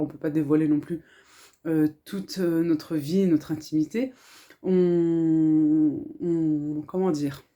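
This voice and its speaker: A woman in her thirties from France, with a slight accent shaped by speaking Arabic with her family. She talks quietly and chattily at her kitchen table, calm and earnest, her low, mellow voice kept hushed. Plenty of fillers and small restarts. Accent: French with a slight Arabic-influenced lilt. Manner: speaks softly, hushed so as not to wake children. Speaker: family vlogger. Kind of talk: casual haul vlog. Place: home kitchen, indoors.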